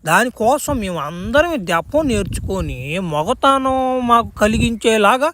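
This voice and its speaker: A man's voice: high-pitched, expressive, unhurried, pushed and bright, slight background noise, exaggerated voice. A man voicing a proud clan elder, a comic cartoon villain explaining himself in dialect with self-importance. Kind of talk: crude comic cartoon dubbing. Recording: music behind the voice.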